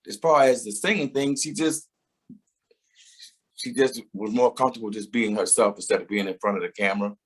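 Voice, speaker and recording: A man's voice: on the low side, brisk, husky. A middle-aged man, a singer, talking reflectively in a casual interview, often laughing and repeating words as he starts a thought.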